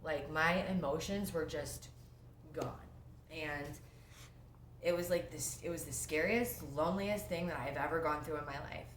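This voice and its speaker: Young woman, low voice, sniffly with a cold, rambling casual storytelling, echoey room, faint noise. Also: measured pace. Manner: rambling, lots of fillers and self-interruptions. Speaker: vlogger sharing her recovery story. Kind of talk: casual first-person storytelling. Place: at home.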